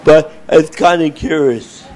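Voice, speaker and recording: A man leading a group discussion with a booming voice, often repeating words and restarting phrases. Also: slow, very noisy.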